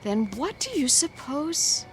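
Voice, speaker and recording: High, lilting mild housewife. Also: female voice, sing-song, takes it slowly, a little hiss or hum, exaggerated voice. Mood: puzzled. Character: mild, helpful suburban housewife. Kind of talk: radio drama acting.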